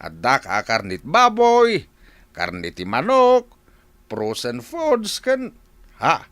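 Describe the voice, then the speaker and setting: An excitable old dad in a radio comedy, voice jumps all over, loves exclaiming. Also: male voice, medium pitch, slow, exaggerated voice. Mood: amazed. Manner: exclamatory, swooping delivery. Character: excitable old father, easily amazed, teasing. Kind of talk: comedic radio drama acting.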